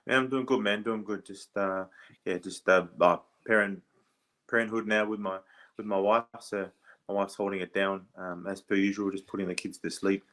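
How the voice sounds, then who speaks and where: An Australian man in his thirties, a guest on a podcast, talking casually and hesitantly with many pauses and restarts. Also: low-pitched, steady tone, fairly bright tone. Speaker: podcast guest, former rugby league player. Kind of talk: casual interview chat.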